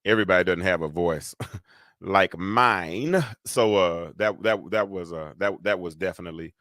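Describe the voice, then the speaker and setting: A man hosts a casual live show, his voice very low and heavy. He rambles and restarts, leaning on fillers as he thinks aloud. The sound is close and dry, with no room around it. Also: normal speed, a bit bright, no room sound. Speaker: host. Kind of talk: casual live-stream talk.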